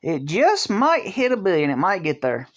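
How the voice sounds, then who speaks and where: A vlog host giving casual opinion commentary, talking in a halting way, restarting phrases and filling pauses, in an earnest, conversational tone. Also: mid-range voice, wildly swooping pitch, muffled recording. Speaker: channel host.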